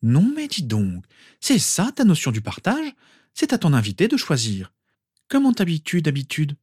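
An emotional, warm-hearted storybook mother, acted out by a man, raised well in pitch. She questions and exclaims emphatically, in a dry, close recording. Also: lively intonation, a little fast, no echo, close to an everyday voice. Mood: indignant, probing.

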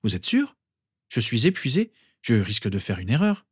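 A man's voice: mid-range voice, huge pitch swings, normal speed, husky, no echo, clean studio sound. A man voicing an earnest, awkward teenage wizard boy in an audiobook, hesitant as he explains himself. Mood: weary, unsure.

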